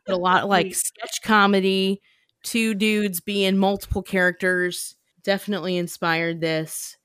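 A woman's voice: medium pitch, flat delivery, a little slow, a bit bright, smooth, no room sound. Chatty, dry-voiced woman podcast host. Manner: chatty, self-deprecating, laughing often. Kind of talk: casual chat.

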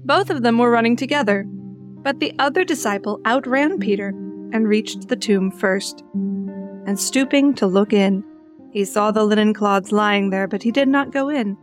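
A woman's voice: medium pitch, moderate pace, faint music behind. A woman podcast co-host who moves between reading scripture and earnest, enthusiastic chat. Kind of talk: Bible reading and casual group chat.

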